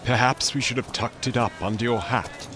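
An actor playing a fussy, loyal English manservant in a radio drama, speaking with formal precision and exasperated politeness. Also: male voice, medium pitch, steady tone, normal speed, background noise, natural voice. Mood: fussy, instructing.

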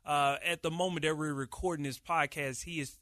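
A man co-hosting a casual sports podcast, talking with animated energy and frequent restarts. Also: on the high side, brisk, no room sound, clean studio sound. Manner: animated, hype-filled delivery with many restarts. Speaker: podcast co-host, radio producer.

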